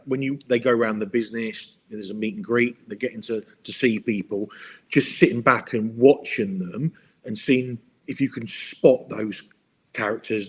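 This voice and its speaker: A man's voice: mid-range voice, husky. A man answering interview questions, rambling and often repeating words while he thinks. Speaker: guest. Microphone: video call.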